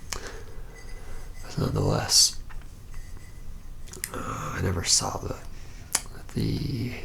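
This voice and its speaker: A man playing a reluctant, jaded young male vampire in a whispered ASMR roleplay, speaking haltingly with a wry, deadpan manner. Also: on the low side, a little hiss or hum, exaggerated voice. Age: young adult. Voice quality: breathy whisper with gravelly creak.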